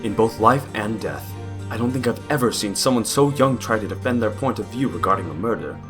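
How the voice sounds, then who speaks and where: A man's voice: fairly low pitch, fairly quick, music in the background, natural voice. A man playing a solemn, formal judge in a courtroom audio drama.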